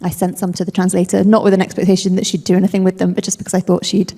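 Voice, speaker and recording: A woman editor of translated books speaking thoughtfully on a live panel, with frequent pauses and fillers. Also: on the low side, level tone, mellow.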